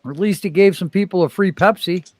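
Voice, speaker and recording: A man hosting a casual sports livestream, cracking quick teasing jokes with his co-hosts. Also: high-pitched, no echo, clean studio sound. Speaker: stream host. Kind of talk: casual sports stream chat.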